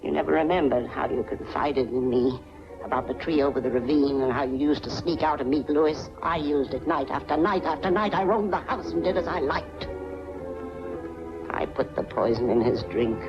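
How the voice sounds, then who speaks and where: An actress playing a mousy older aunt who is a coldly menacing murderess, speaking deliberately in 1940s film style. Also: female voice, sits low, over music. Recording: dull 1940s film soundtrack from VHS. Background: prominent film score.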